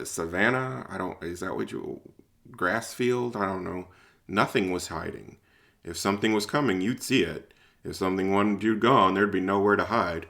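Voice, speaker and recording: A low-voiced man tells a story in the first person as the lead of an audio drama. His voice has plenty of gravelly creak. He sounds informal and hesitant, as though working out his thoughts as he speaks. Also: a bit bright. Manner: hesitant, informal, thinking aloud.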